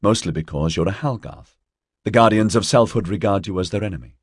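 A man voicing a composed, frank and sympathetic female investigator in an audiobook, with a measured way of explaining. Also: fairly low pitch, slightly rough, no room sound, studio quality.

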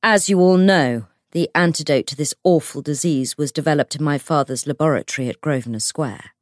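A woman's voice: low-pitched, fairly quick, no echo, studio quality, lightly acted. A woman voicing a pompous, formal male official making a declamatory speech in an audiobook. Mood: self-important.

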